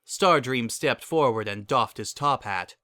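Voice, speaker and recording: Bright, dry-sounding male narrator. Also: mid-range voice, measured pace, no room sound. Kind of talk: dramatic story reading.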